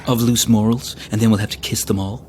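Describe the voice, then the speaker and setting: An actor playing an earnest, proper young newlywed husband in an audio drama, mild and natural in manner. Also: male voice, lowish voice, little pitch movement, fairly quick, natural voice. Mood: tender, teasing. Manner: mild, prim, gently teasing. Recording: faint vehicle noise.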